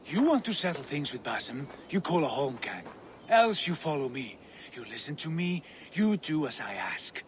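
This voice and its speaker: A man's voice: fairly high pitch, big pitch swings, moderate pace, slight background noise. A man playing a proud, commanding Viking lord in a video game, speaking in an imperious, scolding way. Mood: angry, commanding. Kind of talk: video game cutscene acting.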